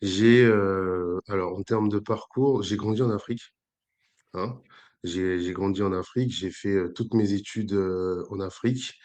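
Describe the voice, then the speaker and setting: A man's voice: deep voice, steady tone, a little slow, a little mellow. A man in his forties from Congo-Brazzaville, speaking as an interview guest in a hesitant, conversational way full of fillers and restarts. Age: 40s. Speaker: entrepreneur guest.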